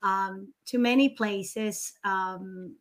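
A woman's voice: medium pitch, extremely slow. A woman from Nicaragua, a native Spanish speaker, talking as a mortgage consultant guest in a casual, friendly way with frequent ums. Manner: friendly, laughs easily, filler-heavy. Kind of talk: casual podcast interview.

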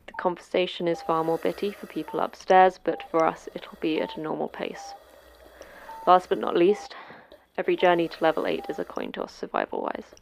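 A woman's voice: fairly low pitch, very animated, moderate pace, vocal fry, in a whisper, low-quality recording. A woman playing the terse, stern manager of a secret monster prison in a sci-fi horror audio drama, speaking in a clipped, matter-of-fact way. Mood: brisk, instructive.

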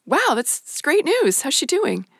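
A woman's voice: sits high, huge pitch swings, a little slow, vocal fry, no room sound, clean studio sound, heavily acted. A woman playing a guarded, self-doubting police officer in an audio drama, speaking in terse, subdued replies. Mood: relieved. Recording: loud noise and clicks behind.

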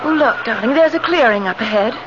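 An actress playing an affectionate but easily indignant younger wife in a 1970s radio drama, theatrical and expressive. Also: female voice, fairly high pitch, a little fast, lo-fi sound, natural voice. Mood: cheerful. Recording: noisy, band-limited 1970s radio recording.

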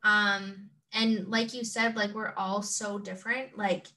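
A woman co-hosting a podcast, talking gently and haltingly with many fillers. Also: mid-range voice, level tone. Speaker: podcast co-host. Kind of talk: casual conversation. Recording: distant from the mic.

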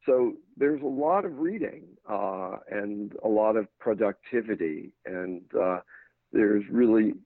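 Low-voiced American man on a tinny line. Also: unhurried, no echo. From England. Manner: thoughtful, steady answers with frequent restarts. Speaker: talent and literary manager. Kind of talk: casual podcast interview. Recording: dry, tinny phone-like line.

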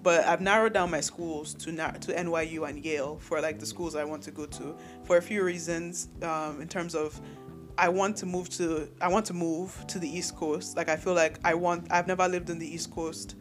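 A young man from Nigeria talks through his thoughts on his own podcast, his voice sitting a little high. He rambles candidly, stops and restarts sentences, and breaks into laughs. Soft guitar music plays faintly underneath. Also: fairly even intonation, normal speed. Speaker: podcast host. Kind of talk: solo podcast monologue.

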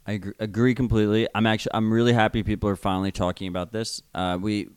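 A man's voice: fairly low pitch, flat delivery, racing, smooth, dry recording, clean studio sound. A man with a New York accent, co-hosting a casual podcast, speaking bluntly and swearing now and then. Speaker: podcast co-host.

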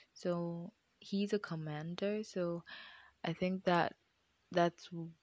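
A young woman vlogger with a deep, low-set voice talks unhurriedly and confidingly to her viewers, sliding now and then into hushed, whispered asides. The sound is close and dry, with no room around it. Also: no room sound. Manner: chatty, keeps addressing viewers as guys, drops into hushed whispered asides. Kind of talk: personal storytelling to viewers.

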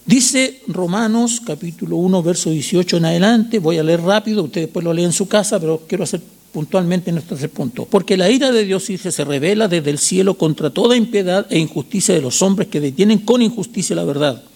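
A man in his sixties with a Chilean accent preaching a sermon, teaching didactically with emphatic repetition and short check questions, in a gravelly, husky voice. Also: high-pitched, brisk, a little mellow. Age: 60s. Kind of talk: sermon with Bible reading and commentary.

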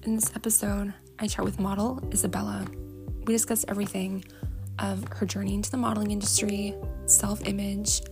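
A woman's voice: mid-range voice, little pitch movement, brisk, music in the background. A young woman hosting a podcast, chatting in a gushing, enthusiastic way with many fillers. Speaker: podcast host. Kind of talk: casual interview chat.

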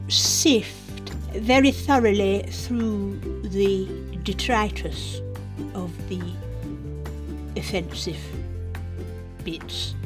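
A woman hosting a readings podcast, chatting in a wry, understated way as she introduces pieces. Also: mid-range voice.

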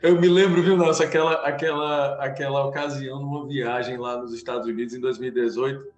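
A man's voice: medium pitch, steady tone, quick pace, muffled recording. A man chatting as an interviewer, hesitant and repeating words as he starts. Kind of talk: casual conversation. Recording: roomy echo.

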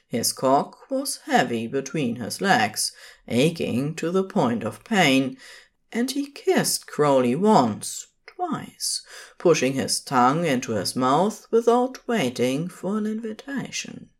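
A woman's voice: low-pitched, sing-song, slow pace. A woman reading scripted fiction as the narrator of an audio story, in a dramatic storytelling style.

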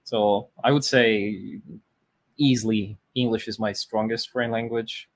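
A man's voice: on the low side, fairly even intonation, unhurried, no room sound. A thirty-year-old man from Poland whose first language is Polish, chatting casually as a podcast guest, rambling with fillers and restarts. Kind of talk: casual interview chat.

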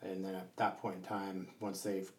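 A low male voice with very little melodic movement explains project details in conversation. He pauses and gropes for the next item as he goes, picked up from a distance in a roomy, reverberant space. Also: level tone, darkish tone. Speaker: construction project team leader being interviewed. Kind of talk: conversational interview answers about a project. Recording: roomy echo, distant mic, faint noise.

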